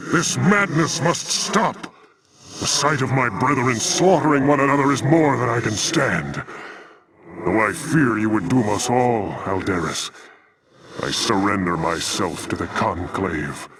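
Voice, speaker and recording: A man's voice: lowish voice, far from the mic, background noise. A man voicing a noble, brave, sorrowful alien templar commander, earnest and formal with impassioned gravity, for a video game. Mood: anguished.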